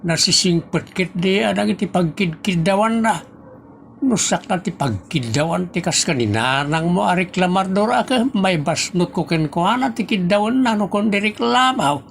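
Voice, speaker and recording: An actor with an Ilocano accent playing a scolding, complaining family member in a comedy audio drama, full of drawn-out exclamations. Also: medium pitch, lively intonation, gravelly, slight background noise.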